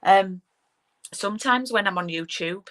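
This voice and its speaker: A woman's voice: medium pitch, measured pace, a bit bright. A woman with a broad Yorkshire accent, hosting a casual live craft stream and greeting viewers by name as she chats. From Yorkshire, England. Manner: chatty, greets viewers by name, frequent ums and restarts. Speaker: card-making demonstrator hosting a live stream.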